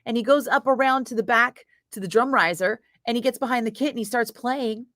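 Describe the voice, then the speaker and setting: A middle-aged woman radio DJ chatting casually with viewers between breaks, profane and quick to laugh. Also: a little high, fairly bright tone, dry recording, clean studio sound. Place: radio studio.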